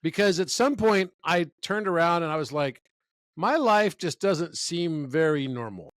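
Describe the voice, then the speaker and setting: A man's voice: a little high, lively intonation, measured pace, a bit bright, no echo, clean studio sound. A man in his fifties, a guest in a conversational interview, telling stories with enthusiasm. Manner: enthusiastic, storytelling.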